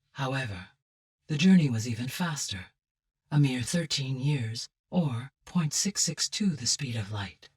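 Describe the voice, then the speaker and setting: A female artificial intelligence from a video game, calm and caring. The voice is low for a woman and husky, slightly set back from the mic. Each sentence is formal, precise and evenly measured. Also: recorded from a distance, full character voice. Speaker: game character, AI adviser. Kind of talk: scripted video game dialogue.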